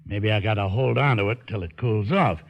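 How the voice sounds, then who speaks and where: An actor playing a sly, mocking, slangy dealer in stolen goods in an old-time radio drama. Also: male voice, lowish voice, fairly quick, gravelly. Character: sly, mocking male fence haggling over stolen goods. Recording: muffled old radio recording.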